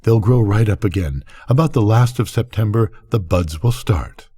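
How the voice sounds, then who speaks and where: Older man voicing an eager, intense farm woman, swooping pitch. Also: lively intonation, moderate pace, mellow, slightly rough. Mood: earnest, instructing. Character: eager, intense farm woman, passionate about her flowers. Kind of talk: storybook character reading.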